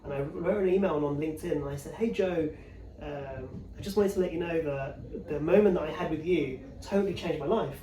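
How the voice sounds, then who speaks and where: Middle aged guy guest chatting away fast, kinda mellow voice, tells stories with little acted-out quotes. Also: a little high, a little hiss or hum. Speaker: interview guest, corporate executive. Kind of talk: casual conversational personal anecdote. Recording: echoey room, distant mic.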